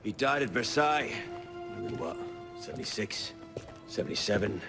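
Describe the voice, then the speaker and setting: A weathered, roguish assassin prisoner from a video game. His voice is gravelly and swings widely in pitch, sardonic and coarse in a theatrical way. Music plays clearly behind him. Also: lively intonation, moderate pace. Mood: wry, secretive. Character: older gruff roguish hard-drinking assassin prisoner and mentor.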